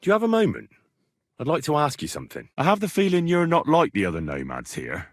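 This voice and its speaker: A man's voice: fairly high pitch, wildly swooping pitch, fast talker, dry recording, studio quality. A man acting an earnest, plain-spoken young medieval man in a lord's service for a video game, with a colloquial, inquisitive manner. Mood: polite, inquisitive. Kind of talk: naturalistic game dialogue acting.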